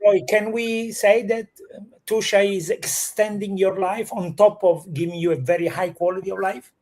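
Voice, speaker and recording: High-voiced Italian man hosting. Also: steady tone, moderate pace, a little mellow. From Italy. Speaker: host of a real estate livestream. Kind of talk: chatty live show presenting.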